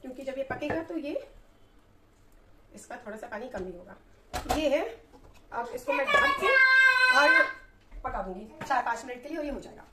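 A woman's voice: high voice, sing-song, moderate pace, long pauses, distant mic, heavy background noise. A woman in her thirties chatting casually as she explains each cooking step, recorded on a phone a few feet away in a small home kitchen. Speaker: cooking vlogger. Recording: roomy kitchen sound, off-mic, music underneath.

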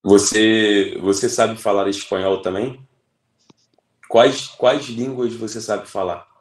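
A man's voice: on the low side, fairly even intonation, slow. A man in his twenties with a Rio de Janeiro Brazilian accent, chatting patiently on a video call and repeating words back to help. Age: 20s. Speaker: video chat partner. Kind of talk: casual video chat. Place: room indoors. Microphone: webcam on a video call. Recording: echoey room.

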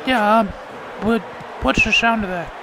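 A man voicing a creepy, chatty old man on a prank phone call, halting and repeating himself. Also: high voice, pitched above the performer's own voice. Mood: inquisitive. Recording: very noisy call over game audio.

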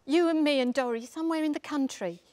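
An actress reading the part of a weary, loyal pub landlord's wife, anxious and pleading, in a stage drama. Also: female voice, high voice, quick pace, darkish tone, close to an everyday voice. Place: theatre stage, seated reading.

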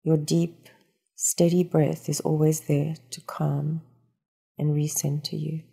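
A woman leading a guided meditation, speaking in a calm, soothing and unhurried instructional manner. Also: low voice, vocal fry, breathy edge.

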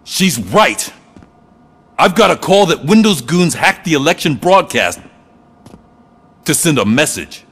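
An agitated policeman in a video game. His husky voice barks emphatically, leaping widely in pitch. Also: sing-song, unhurried, long pauses, a bit bright, full character voice. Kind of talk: video game cutscene acting.

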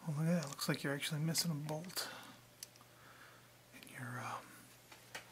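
A man whispering softly and breathily in an ASMR roleplay, hesitant and muttering to himself as he works as a mechanic. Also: a little high, background noise. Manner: slow, hesitant, muttering to himself while working. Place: indoor room, close to camera.

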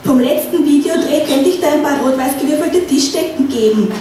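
A woman's voice: sits high, lightly acted. Woman doing a wily Austrian manager. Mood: coaxing. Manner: coaxing, explains slowly. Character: scheming male marketing manager, patient and wily. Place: small pub stage. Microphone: stand mic. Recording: echoey bar room, distant mic, noisy.

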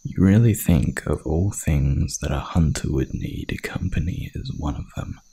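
Very deep, gravelly werewolf. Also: male voice, dark tone, slight background noise, full character voice. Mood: mocking, sceptical. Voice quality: gravelly, breathy growl. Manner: slow, seductive, menacing drawl. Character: hungry, dominant male werewolf hunter, menacing and teasing. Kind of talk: ASMR roleplay.

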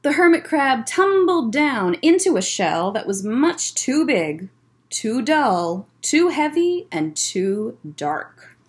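Animated, high-voiced young American woman reading aloud. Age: young adult. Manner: expressive, simple and deliberate phrasing for young children. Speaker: children's librarian hosting story time. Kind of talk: reading a picture book aloud to children, with short asides. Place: indoor library room lined with bookshelves. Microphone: webcam at desk distance.

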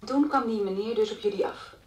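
A woman's voice: fairly high pitch, fairly quick, slight background noise, natural voice. An actress playing a composed policewoman in her twenties, patiently questioning in a radio drama. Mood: calm, probing.

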